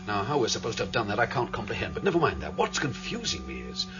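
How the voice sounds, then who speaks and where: A man's voice: on the low side, huge pitch swings, fast talker. An actor playing a doubtful man who keeps asking how the plan works. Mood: puzzled. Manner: questioning, doubtful. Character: questioning man named Avery, doubtful. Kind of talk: radio drama acting. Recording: dull lo-fi radio drama with music.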